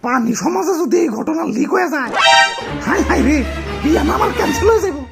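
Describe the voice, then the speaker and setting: A man's voice: extremely high pitch, lively intonation, fast talker, gravelly, over loud music, exaggerated voice. A man voicing a self-pitying, hot-tempered puddle of floodwater in a comic cartoon, whining melodramatically in a Bangladeshi accent. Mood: wailing, indignant. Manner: whiny, melodramatic complaining.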